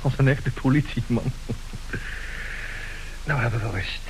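An actor playing a smug, jubilant swindler and suitor in a radio play. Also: male voice, mid-range voice, a little slow, a little mellow, close to an everyday voice. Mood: triumphant. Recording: noisy, hissing old radio recording.

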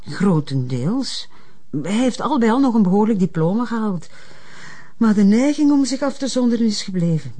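An actress as a reserved middle-aged mother in a crime drama. Her voice is dark and mellow at a medium pitch, and she speaks formally, with measured composure. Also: close to an everyday voice. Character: reserved, formal mother of a troubled adult son. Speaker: actor in a detective drama. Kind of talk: naturalistic crime drama dialogue.

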